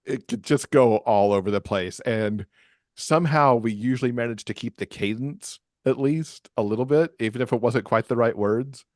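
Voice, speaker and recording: A man with a bass voice chatting casually as a podcast guest, talking about himself in a self-deprecating way. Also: normal speed, darkish tone, no echo, studio quality. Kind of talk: casual chat.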